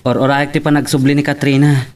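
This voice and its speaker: A man plays a young, easygoing boyfriend in an amateur audio drama. His voice sits at an ordinary male pitch with an Ilocano accent, over faint ambient noise. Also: little pitch movement, fast talker, a little mellow, close to an everyday voice. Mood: casual, waiting.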